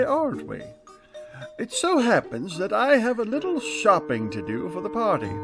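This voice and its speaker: An actor playing a grouchy, pompous school principal in a comedic radio play, with a blustering manner. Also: male voice, high voice, full character voice. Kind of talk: comedic old-time radio play acting.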